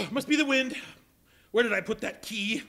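A man's voice: high-pitched, moderate pace, gravelly, pushed and bright, heavily acted. An actor playing a miserly, suspicious, cantankerous old businessman in a theatrical live stage performance. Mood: wary, muttering. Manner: theatrical, exclamatory. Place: live on a college hall stage before an audience.

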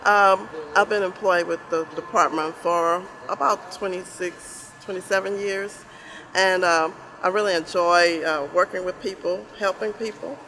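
A woman's voice: on the low side, fairly even intonation, unhurried, bright, forceful tone, slight background noise, rough recording. A middle-aged woman, an environmental investigator, giving an interview testimonial outdoors. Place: outdoors beside a building.

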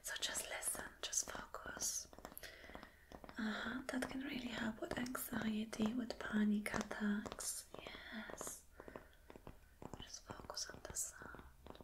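A woman in her thirties playing a flirty, soothing boss in a soft, breathy, whispered ASMR roleplay, gentle and coaxing. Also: fairly high pitch, fairly even intonation, poor audio. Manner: gentle, coaxing, slow and deliberate. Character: flirty, soothing female boss reassuring an employee. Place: small dim indoor room.

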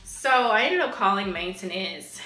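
A woman's voice: medium pitch, lively intonation, normal speed, bright, forceful tone. A young woman, chatting casually to the camera in her apartment and calling her viewers y'all. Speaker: lifestyle vlogger. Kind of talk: casual vlog talk to camera. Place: home apartment, indoors. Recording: roomy indoor echo.

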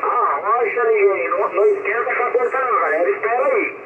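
Loud static, tinny radio, Brazilian man, slangy casual operator chatter. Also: high voice, fairly quick. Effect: voice heard over shortwave radio. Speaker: CB radio operator directing a round of callers.

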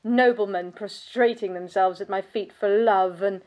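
An actress playing a bitter, world-weary aristocratic vampire countess in a theatrical monologue, pompous and sardonic in manner. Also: female voice, medium pitch, steady tone, normal speed, darkish tone, lightly acted. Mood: haughty, disgusted. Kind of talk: scripted dramatic monologue.

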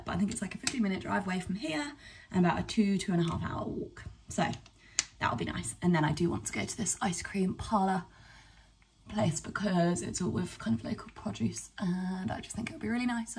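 Young English woman vlogging, chatty and rambling, husky tone, a little off-mic. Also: medium pitch, recorded from a distance. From England. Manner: chatty, rambling with fillers and quick asides. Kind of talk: casual vlog chat. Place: cottage bedroom indoors, later outdoors on a walk. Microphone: camera at arm's length.

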